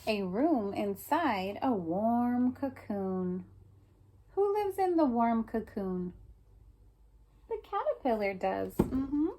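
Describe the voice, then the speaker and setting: A woman's voice: on the high side, animated, slow pace, dark tone. A woman teacher reading a picture book aloud to young children, explaining simply and prompting them with questions.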